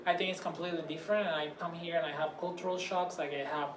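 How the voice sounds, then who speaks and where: A man's voice: a little high, fairly even intonation, rapid-fire. A man from Puerto Rico with a Puerto Rican Spanish accent and Spanish as his first language, giving thoughtful answers in a casual interview and sometimes searching for English words. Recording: roomy, distant mic, background noise.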